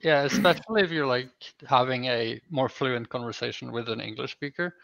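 A Norwegian man speaking English as a second language on a video call, chatting in a measured way and adding careful corrections. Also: mid-range voice, gravelly, fairly bright tone, no room sound. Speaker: guest. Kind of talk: casual chat on a video call. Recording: dull, dry video call audio.

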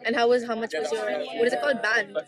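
A young woman vlogging her graduation day, talking in an excitable, giggly way into a camera held at arm's length on a coach bus. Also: a little high, fast talker. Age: young adult. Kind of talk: casual vlog chat. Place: inside a crowded coach bus. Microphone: handheld camera at arm's length. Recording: chatter of a crowd behind.

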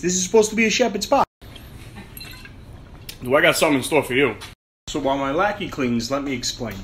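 A man's voice: medium pitch, a bit bright, a little hiss or hum. A young man casually narrating a home cooking video in his kitchen, joking and brash with streetwise slang. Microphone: phone camera held close.

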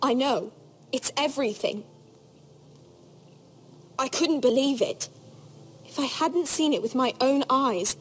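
A woman's voice: high voice, slow pace, long pauses, slight background noise. A woman playing an earnest, troubled teenage noble girl in a dramatic fantasy video game, hesitant and urgent. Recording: music behind the voice.

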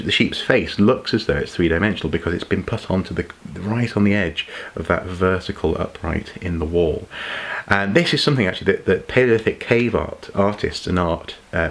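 A deep-voiced man, chatting at home in front of a desk camera. He muses aloud about archaeology in a relaxed, unscripted way, stumbling over repeated words and filling pauses with ums. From England. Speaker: archaeology YouTuber. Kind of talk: impromptu casual vlog explainer. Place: home study with shelves of collectibles.

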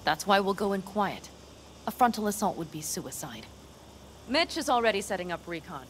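A woman's voice: medium pitch, sing-song, normal speed, a little hiss or hum, close to an everyday voice. An actress playing a tough, driven young nomad woman in a video game, speaking in an emphatic, commanding way. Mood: focused, urgent.

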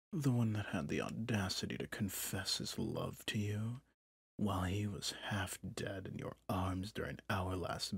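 A man acts out a manipulative, possessive villain prince in an intimate ASMR audio drama. His voice is lowish and heavily breathy, recorded close and dry. He speaks in soft, measured, courtly phrases with smooth menace. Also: no room sound, exaggerated voice. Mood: veiled threat. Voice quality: breathy, husky.

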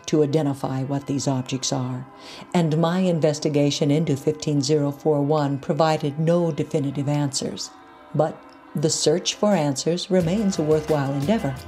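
A woman hosting a podcast, reading a scripted case report and introduction in a measured, earnest way. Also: low voice, dark tone. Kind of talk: scripted narration.

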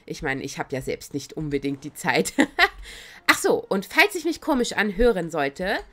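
A woman streaming a video game, chatting in a casual, excitable and giggly way. She has a cold that makes her voice slightly raspy. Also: fairly low pitch, normal speed. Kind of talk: casual let's-play commentary.

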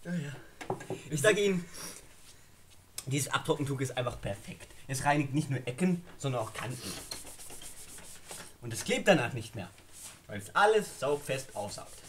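A man's voice: medium pitch, animated, normal speed, long pauses, background noise. A man performing improvised comic talk for a radio parody.

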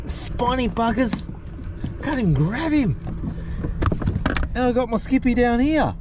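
Excitable Australian man fishing from a kayak. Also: extremely high pitch, slow. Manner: excitable, laughs often, chatty to viewers. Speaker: fishing vlogger. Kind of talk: casual running commentary while fishing. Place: kayak on open bay outdoors. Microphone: action camera mounted on kayak. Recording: wind and water noise outdoors.

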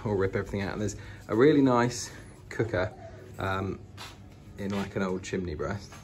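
A man's voice: low-pitched, normal speed, darkish tone. A British man chatting informally and enthusiastically as he gives a casual walk-through narration indoors. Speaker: vlogger giving a house tour. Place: inside an old house, walking between rooms. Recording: noisy indoor handheld home recording.

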